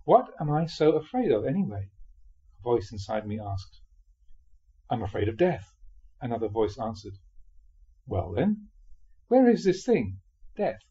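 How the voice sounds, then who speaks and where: A man reading a spiritual memoir aloud expressively, in a measured way with dramatic pauses. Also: medium pitch, big pitch swings, dark tone, breathy edge, highs cut off. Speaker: reader of Buddhist teachings.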